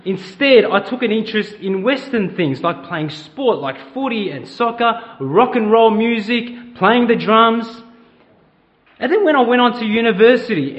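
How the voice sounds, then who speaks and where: A man's voice: high voice, highs cut off. A middle-aged man with an Australian accent, preaching a conversational sermon in church and asking the congregation questions. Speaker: pastor.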